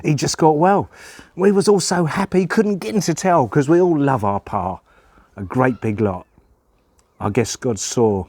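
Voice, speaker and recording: A folksy country child played by a man tells a storybook poem in rustic dialect. The delivery is slow and eager, in a dry, close recording. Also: medium pitch, expressive, a little mellow, husky, dry recording, pitched above the performer's own voice. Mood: joyful. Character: folksy country child telling about a beloved Pa. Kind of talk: dramatic poem recitation.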